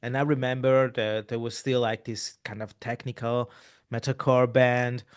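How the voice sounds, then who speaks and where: A man's voice: medium pitch, little pitch movement, moderate pace, clear, resonant tone. A man, hosting a casual interview, talking in long rambling runs full of restarts. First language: Italian. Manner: rambling, with many restarts and repeated words. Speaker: podcast host.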